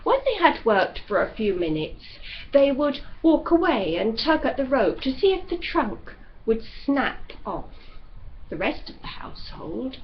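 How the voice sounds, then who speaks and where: Casting: female audiobook narrator, highish animated voice, expressive literary reading, slightly muffled home-style recording. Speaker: audiobook reader. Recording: dull lo-fi audio with light noise.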